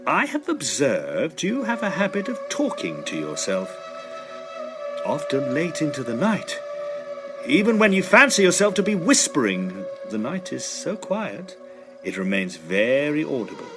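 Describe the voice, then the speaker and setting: A man's voice: on the high side, huge pitch swings, measured pace, over music. An actor playing a polite, sensible young male medical student in a period audio drama, courteous and a little hesitant. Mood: concerned, puzzled. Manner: hesitant, courteous, gently admonishing.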